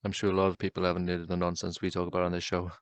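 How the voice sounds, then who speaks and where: A man's voice: low voice, completely flat delivery, very fast, smooth, no room sound. A man co-hosting a podcast in casual chat, contrary and matter-of-fact as he teases his co-host.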